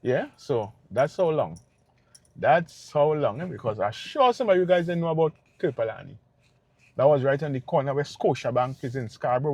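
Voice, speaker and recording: A man from Tobago with a Tobagonian accent, talking casually to his viewers in a relaxed, rambling way. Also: mid-range voice, no echo. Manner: relaxed and rambling, checks in with "right?". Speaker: vlogger. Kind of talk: casual vlog talk to viewers.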